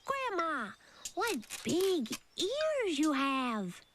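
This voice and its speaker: A woman voicing an innocent little girl in a storybook, asking slow, wondering questions. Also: very high voice, huge pitch swings, background noise, pitched above the performer's own voice, exaggerated voice. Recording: background music.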